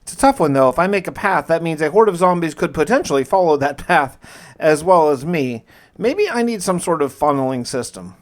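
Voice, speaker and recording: A man narrating his own survival game playthrough in a conversational, journal-like storytelling way, with light roleplay. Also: fairly high pitch. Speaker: gaming video creator narrating a survival challenge.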